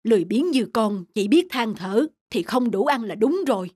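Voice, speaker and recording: A woman voicing a poor, hardworking elderly village mother who nags and scolds in an animated folk tale. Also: fairly high pitch, normal speed, slightly rough, no room sound, clean studio sound. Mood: scolding. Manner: nagging, scolding. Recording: background music under the voice.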